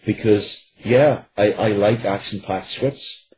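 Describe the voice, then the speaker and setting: A man who is a writer, explaining his work in an interview in an earnest, deliberate way, repeating phrases for emphasis. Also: fairly low pitch, a little mellow, breathy edge, poor audio. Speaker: guest, writer.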